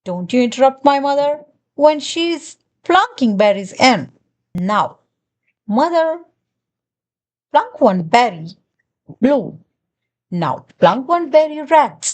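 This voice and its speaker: A woman's voice: high-pitched, very animated, slow pace, pauses often, a little mellow, heavily acted. A woman doing a pushy chattering glunk creature. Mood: wheedling. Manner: sweetly wheedling, rambling.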